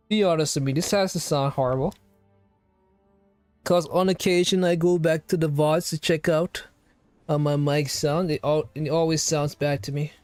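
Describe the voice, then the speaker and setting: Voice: medium pitch, steady tone, a little slow, long pauses, a little mellow, no echo, faint music behind. A live streamer chatting casually to viewers, rambling and firing off questions to chat. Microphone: home streaming microphone.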